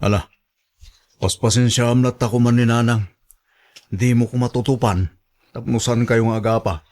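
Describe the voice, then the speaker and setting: An actor plays an Ilocano Filipino husband and dutiful son in a radio drama. His voice has a middle pitch for a man. He placates and pleads patiently, caught between two women. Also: fairly even intonation, moderate pace, darkish tone, lightly acted. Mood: placating.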